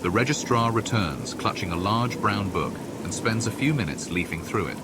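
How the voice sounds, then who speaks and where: A man's voice: lowish voice, lively intonation, normal speed, heavy background noise. A man voicing a solemn storyteller who gives scripted narration of scenes in a fantasy video game. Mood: descriptive.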